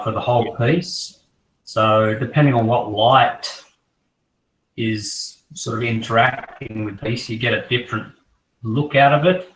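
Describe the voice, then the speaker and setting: A croaky-voiced man fighting off a cold talks casually and at length, his tone staying flat and level. He sits a little back from the microphone in an echoey room. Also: fairly low pitch, far from the mic, slight background noise. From Australia. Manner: relaxed, rambling collector talk. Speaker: co-host. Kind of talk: casual chat.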